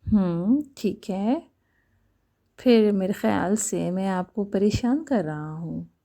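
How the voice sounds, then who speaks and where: A woman voicing a persistent young male suitor in a romantic story, tentative and gently pleading. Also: medium pitch, slow pace, vocal fry.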